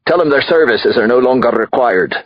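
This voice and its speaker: Audiobook role for a male actor: rich drunken father, belligerent, dismissive, husky shouted delivery, close dry sound. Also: medium pitch, animated, fairly quick, dry recording, muffled recording, lightly acted. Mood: gleefully mocking. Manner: yelling, sneering. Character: rich drunken angry father, profane and dismissive, cigar-waving. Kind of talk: audiobook drama acting.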